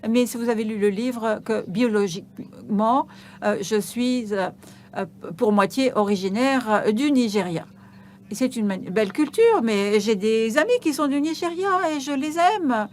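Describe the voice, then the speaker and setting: A woman giving a live interpretation of an on-stage conversation, halting, with frequent hesitations and restarts. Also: fairly high pitch, darkish tone, a little hiss or hum.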